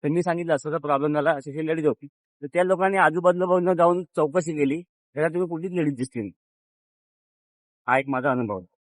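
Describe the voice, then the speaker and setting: A middle-aged man, informally telling a personal story in a halting, plain, step-by-step way. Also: fairly high pitch, no echo, poor audio. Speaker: vlogger's father, guest storyteller.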